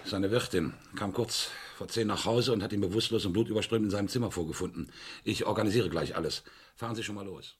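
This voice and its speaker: A man playing a brisk, commanding police inspector, businesslike and terse, in a German radio crime play. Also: on the low side, slight background noise, lightly acted.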